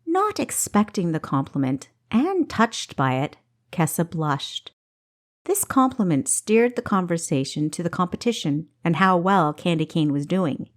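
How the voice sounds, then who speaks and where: A woman reading a story as an audiobook narrator, with a deliberate, expressive storytelling delivery. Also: fairly low pitch. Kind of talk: expressive scripted fiction narration.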